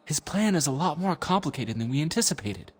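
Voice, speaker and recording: A man's voice: a little high, big pitch swings, fairly quick, slightly rough. A man voicing an anxious, impassioned young team member in a dramatic video game. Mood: urgent.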